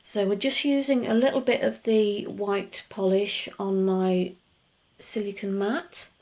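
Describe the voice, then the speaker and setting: A middle-aged woman talking through a nail art tutorial in a chatty, rambling way, her voice gruff from just waking up. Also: medium pitch, fairly even intonation, measured pace, a little mellow. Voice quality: gruff, gravelly. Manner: chatty, rambling explanations with many asides. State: just woken up. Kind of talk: casual tutorial voice-over.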